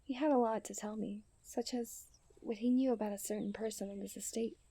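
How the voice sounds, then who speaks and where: A young woman playing a coy, refined and tender princess in a romantic ASMR roleplay, speaking in playful storybook diction that often softens to a near-whisper. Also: fairly high pitch, steady tone, fairly quick, breathy edge, dry recording. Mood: playful, knowing. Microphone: close studio-style microphone.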